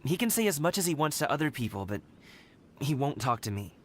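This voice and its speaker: A man's voice: mid-range voice, a little fast, a little hiss or hum, close to an everyday voice. A man playing an earnest young fighter. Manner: earnest, lively, direct. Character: earnest young man, eager to prove himself. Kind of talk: game cutscene voice acting.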